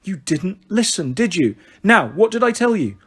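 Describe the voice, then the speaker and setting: Casting: man playing a fussing, exasperated mother in a storybook, raised pitch, lively scolding. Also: fairly quick, creaky, breathy edge, full character voice. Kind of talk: children's storybook character voice.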